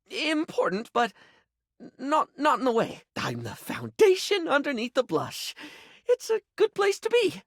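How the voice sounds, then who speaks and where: A man's voice: high voice, fairly bright tone, breathy edge, no echo, studio quality, heavily acted. A man voicing a flamboyant, chatty living makeup kit in a video game, gushing and rambling, camp, insecure and eager to please. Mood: defensive, wistful. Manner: effusive, camp, gushing, rambling, calls people darling. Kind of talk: video game character voice acting.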